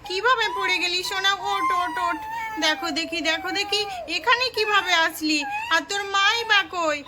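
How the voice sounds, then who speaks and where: A woman's voice: very high voice, normal speed, very noisy. A woman playing a kind village mother who soothes a hurt toddler with repeated words. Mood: fretting, soothing. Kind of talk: home family skit.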